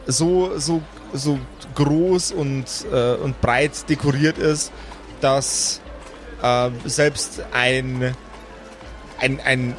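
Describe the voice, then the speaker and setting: A man narrating a tabletop game as game master, improvising deliberately and searching for his words. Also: on the high side.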